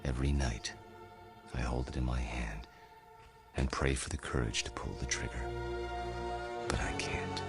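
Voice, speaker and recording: Very deep, world-weary immortal professor. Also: male voice, steady tone, gravelly, exaggerated voice. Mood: weary despair. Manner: measured, philosophical, deliberate delivery. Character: world-weary immortal man, ageless professor, rueful and grave. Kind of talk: radio drama acting. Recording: radio drama with music underneath.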